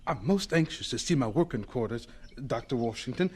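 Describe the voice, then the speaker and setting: A man's voice: mid-range voice, lively intonation, a little fast, darkish tone, slight background noise, lightly acted. An actor playing an earnest, polite, anxious male scientist in a radio drama, hesitant and stammering as he speaks. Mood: eager, perplexed.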